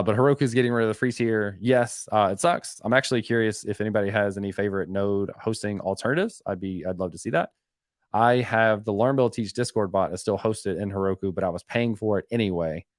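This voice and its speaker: Low-voiced man hosting a casual live Q&A. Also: brisk, no echo, studio quality. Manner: conversational, many fillers and self-corrections. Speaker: host of a live web development Q&A stream. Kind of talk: casual live-stream Q&A, reading chat questions.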